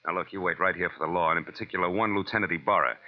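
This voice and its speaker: An actor playing a sardonic, tough-talking American private detective in a 1940s radio noir style. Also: male voice, low voice, fairly even intonation, rapid-fire, natural voice. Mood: urgent. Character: hard-boiled, wisecracking male private detective. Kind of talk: 1940s radio noir acting and first-person narration. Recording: dull old radio recording with music.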